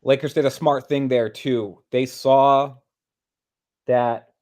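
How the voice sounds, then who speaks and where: A man with a middling pitch gives casual, analytical sports commentary. He explains tactics piece by piece in a conversational, co-host manner. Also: fairly even intonation, slow, darkish tone. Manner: explanatory, thinks through tactics step by step. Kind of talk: casual analytical play breakdown.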